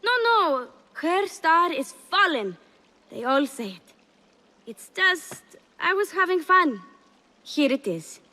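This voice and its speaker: Young palace intriguer for a game drama: high-pitched, coy, slyly theatrical, devoted to her mistress. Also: big pitch swings, slow, sharp tone, exaggerated voice. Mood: sheepish, evasive. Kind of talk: video game cutscene acting.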